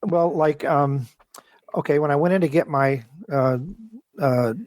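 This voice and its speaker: A man being interviewed, talking casually and at length in a rambling, halting, detailed way as a guest engineer. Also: on the high side, darkish tone, no room sound. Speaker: interview guest, model train design engineer.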